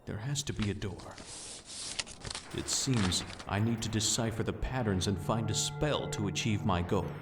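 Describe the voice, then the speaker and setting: A man's voice: fairly low pitch, moderate pace, over music, lightly acted. A man playing an obsessed researcher. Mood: driven. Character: obsessed male researcher reading his notes. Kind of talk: fan manga dub, narrated notes.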